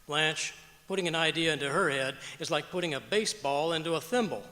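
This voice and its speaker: Man acting grumbling radio husband, highish, echoing hall. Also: a little fast, pushed and bright. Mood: sarcastic grumbling. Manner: sardonic, punchline delivery. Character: Harry, grumbling sarcastic husband. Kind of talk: old-time radio comedy acting on stage. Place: stage of a performing arts auditorium. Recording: echoey auditorium with long reverb.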